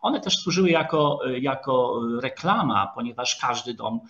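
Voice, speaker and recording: A dull, lo-fi video call recording carries a man lecturing at a middling pitch. He speaks formally and politely, addressing his listeners directly. His delivery is halting, with many hesitation sounds and pauses while he looks for the next word. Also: expressive, a bit bright. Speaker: lecturer, historian. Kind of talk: online lecture with slides.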